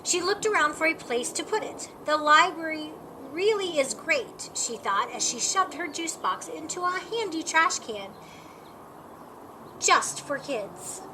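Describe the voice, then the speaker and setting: A woman with a high, very lively voice reads a picture book to children. She stresses and stretches key words in an expressive storytime delivery. There is noticeable background noise in the recording. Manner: animated, emphatic, drawn-out key words. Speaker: storytime reader.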